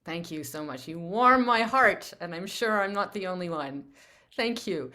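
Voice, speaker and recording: A woman's voice: fairly low pitch, lively intonation, normal speed, bright, forceful tone. A woman hosting a community video call, effusive and repeatedly thanking people. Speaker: meeting host.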